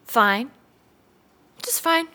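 A woman's voice: high-pitched, lively intonation, drawn-out words, sharp tone, exaggerated voice. An actress playing a guarded married mother in an audio drama, curt and defensive as she is questioned. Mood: guarded, casual. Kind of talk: scripted audio drama dialogue.

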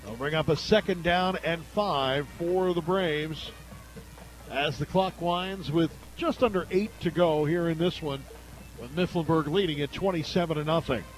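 Casting note: high-pitched male play-by-play announcer, brisk game calling, local radio broadcast. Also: soft background music, poor audio.

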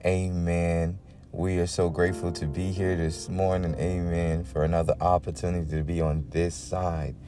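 A man's voice: low voice, flat delivery, normal speed, over music. A man from the Bahamas, praying and exhorting earnestly as a preacher, often punctuating his words with amens. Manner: earnest, punctuated with "amen" and repeated invocations. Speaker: preacher leading a morning prayer. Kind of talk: devotional talk and extemporaneous prayer.

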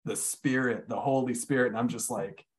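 A man's voice: medium pitch, steady tone, normal speed. A man in a casual podcast chat, talking reflectively and searching for his words. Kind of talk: casual conversation.

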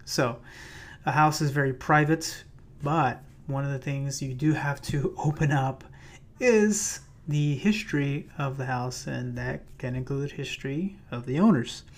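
Chatty man, slightly raspy voice. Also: mid-range voice, unhurried. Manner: loose and chatty, many fillers and restarts. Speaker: podcast host and realtor. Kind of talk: casual solo podcast talk. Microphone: plugged-in microphone.

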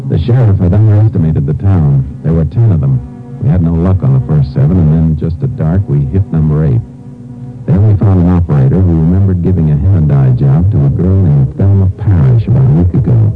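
Deep, laconic Texas Ranger. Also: male voice, brisk, phone-quality sound. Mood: grave, matter-of-fact. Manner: laconic, matter-of-fact, folksy. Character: laconic, dogged Texas Ranger detective, a grown man. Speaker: lead actor and narrator in a radio crime drama. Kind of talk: old-time radio detective acting and narration. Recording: band-limited vintage radio recording with music.

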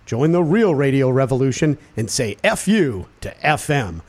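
Man, sports announcer, lively up-and-down voice, playful, reads a promo, studio. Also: medium pitch, moderate pace. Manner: animated, playful, self-deprecating. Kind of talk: casual chat and promo read. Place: radio studio.

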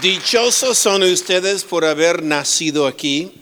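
A middle-aged man preaching as a guest speaker, slow and full of pauses and restarts, with humorous asides. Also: high voice, expressive, pushed and bright, a faint crowd, slight background noise. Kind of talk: sermon.

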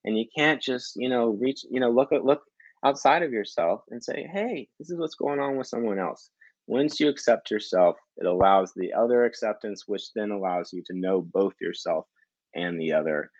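A man's voice: lowish voice, lively intonation, normal speed, a little mellow, no room sound, highs cut off. A man as a podcast guest, musing thoughtfully with trailing restarts. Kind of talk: casual interview chat.

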